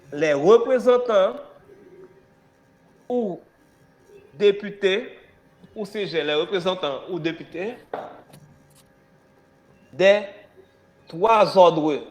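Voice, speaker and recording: Hoarse male teacher with a cold. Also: fairly high pitch, a little mellow. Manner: repetitive, spells words out letter by letter; emphatic rising stresses, rhetorical questions. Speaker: history teacher. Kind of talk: classroom dictation lesson. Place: classroom.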